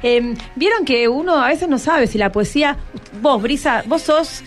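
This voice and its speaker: A woman's voice: sits high, unhurried, faint music behind. A woman with a Rioplatense Argentine accent hosting a casual radio chat and putting questions to students. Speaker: radio host interviewing students.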